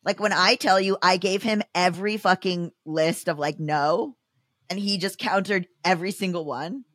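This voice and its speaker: Bright-voiced, emphatic woman podcaster. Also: on the low side, normal speed, no room sound, clean studio sound. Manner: emphatic, slangy, sweary. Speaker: podcast co-host. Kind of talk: casual comic storytelling and banter.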